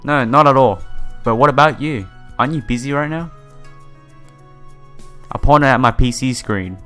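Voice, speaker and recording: A man's voice: medium pitch, normal speed, pushed and bright. A man hosting a visual novel playthrough, reading the text aloud with stumbles and casual, sympathetic asides. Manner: stumbles over read lines, sympathetic asides. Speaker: host of a game playthrough. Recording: music behind the voice.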